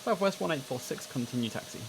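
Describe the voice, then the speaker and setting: Creaky-voiced man, casual game commentary. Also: on the high side, lively intonation, fast, background noise. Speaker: streamer playing an air traffic control simulator. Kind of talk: casual game commentary with clipped radio calls.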